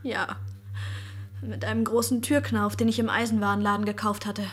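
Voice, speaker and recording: An actress playing a distraught young murder suspect in a radio crime drama, fragile and breathy, sinking into whispers. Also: female voice, medium pitch, level tone, moderate pace, natural voice. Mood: numb, cold.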